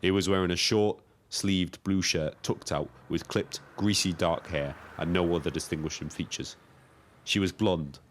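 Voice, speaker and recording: An actor playing a lonely, observant young British taxi driver, narrating in a measured, wry, literary way. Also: male voice, rumbling low. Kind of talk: scripted first-person narration.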